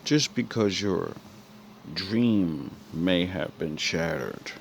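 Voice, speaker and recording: Slow, very low-voiced man, musing. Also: expressive. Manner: musing, philosophical, trailing off. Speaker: commentator reacting to film villain quotes. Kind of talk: casual reflective monologue. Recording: clear background noise.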